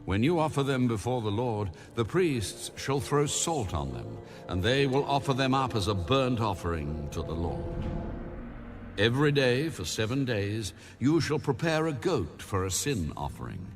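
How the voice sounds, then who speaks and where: A man narrates scripture with solemn deliberation. His medium-pitched voice moves widely up and down through each measured, scripted phrase. Faint music plays behind him. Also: big pitch swings. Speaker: audio Bible narrator.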